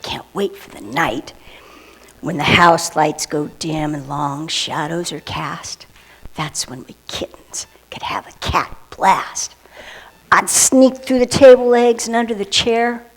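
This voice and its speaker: A woman telling a story and rhyming poem to children, expressive, with hushed moments. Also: medium pitch, poor audio.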